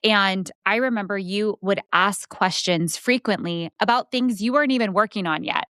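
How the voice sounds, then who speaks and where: A woman's voice: medium pitch, moderate pace, a bit bright, dry recording, studio quality. A woman podcast host and coach interviewing a guest, encouraging and affirming. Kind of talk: conversational interview.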